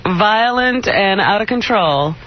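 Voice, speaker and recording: A woman's voice: mid-range voice, expressive, slow pace. A woman co-hosting a radio talk show, reading the news with wry amusement and ready laughter. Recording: dull lo-fi radio broadcast.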